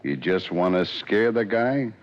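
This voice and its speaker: Brief: deep, menacing hitman, old lo-fi radio drama. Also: expressive, a little slow. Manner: curt, menacing, slangy tough-guy talk. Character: cold tough male hired killer, sneering. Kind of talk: 1940s radio drama acting. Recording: dull lo-fi old radio broadcast.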